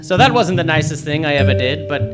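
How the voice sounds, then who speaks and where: A wisecracking noir detective played by a man in a radio drama, delivering narration and retorts in a dry deadpan. The voice is a touch high for a man, with strong music behind it. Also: fast talker, lightly acted. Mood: wry narration. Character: wisecracking hard-boiled male detective narrator. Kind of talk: live 1940s-style radio noir narration and dialogue.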